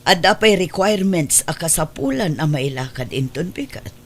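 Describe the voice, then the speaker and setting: A woman's voice: fairly low pitch, lively intonation, moderate pace. A woman playing a loving, hopeful, well-off adoptive mother in an Ilocano audio drama, with an Ilocano accent. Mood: practical.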